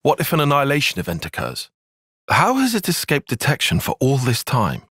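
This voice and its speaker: A young army strategist in a video game, bit husky, really lively pitch, formal and brainy, earnest, easily exasperated. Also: male voice, a little fast, dry recording, clean studio sound, heavily acted. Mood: measured, analytical. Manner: precise, formal, analytical wording. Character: young male strategist soldier, cerebral, earnest, quick to exasperation. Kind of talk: video game cutscene voice acting.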